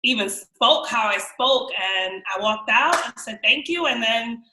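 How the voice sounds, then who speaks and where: A young woman with a New York accent, telling stories as a podcast guest in an animated, slangy way. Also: fairly high pitch, measured pace, roomy sound, noisy background. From New York, raised in Miami. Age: young adult. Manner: animated, slangy, quotes people in voices. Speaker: guest actress. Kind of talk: casual storytelling chat.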